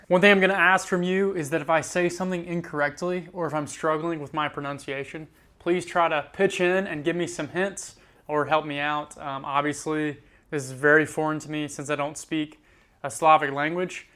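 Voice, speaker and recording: An easygoing young man from the United States talks straight to camera about his week as a student. His voice sits a little high and comes across smooth and clear. He speaks with an earnest, unhurried manner, slowing down to repeat words he finds hard. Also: fairly even intonation, fairly bright tone. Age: young adult. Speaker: student vlogger learning a language. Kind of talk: casual vlog to camera.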